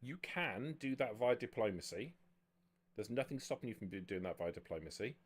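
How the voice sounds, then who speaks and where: A man speaking as game master, explaining the rules of a tabletop roleplaying game in a measured way. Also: on the low side, lively intonation, slightly rough.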